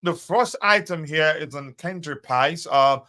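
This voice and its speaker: A man's voice: fairly high pitch, unhurried, bright, forceful tone. A man hosting a live fan show, chatting casually with many fillers and greeting viewers by name. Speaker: host of a live fan stream. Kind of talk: casual live-stream chat.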